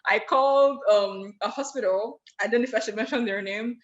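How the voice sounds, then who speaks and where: A woman from Nigeria with a partly Americanized Nigerian accent, a podcast guest sharing personal stories in an open, animated way. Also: on the high side, fairly quick, muffled recording. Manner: open, storytelling, animated when recounting. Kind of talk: casual personal conversation.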